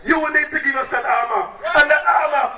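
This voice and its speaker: A man with an Antiguan accent shouting a political campaign speech at an outdoor rally, hammering repeated rallying cries. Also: extremely high pitch, steady tone, brisk, heavy background noise. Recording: echoey, distant, loud crowd.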